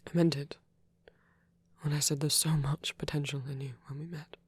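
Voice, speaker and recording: A performer playing a gentle, protective male vampire priest in an intimate ASMR roleplay, speaking softly and haltingly, often in a whisper. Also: mid-range voice, little pitch movement, dry recording. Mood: tender, hesitant. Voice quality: soft, breathy, often whispering. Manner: hesitant, tender, halting pauses.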